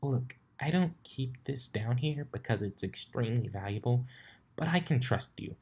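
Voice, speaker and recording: A bookish young unicorn mare from a storybook, played by a man, speaks in a raised pitch. She is fussy and cautious, explaining things with some hesitation. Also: animated, moderate pace, darkish tone, breathy edge. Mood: cautious, earnest.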